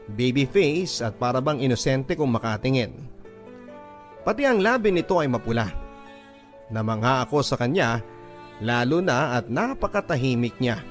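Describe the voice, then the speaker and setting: A man's voice: mid-range voice, animated, normal speed, long pauses, faint music behind. A man reading a scripted first-person love story as narration for a radio drama.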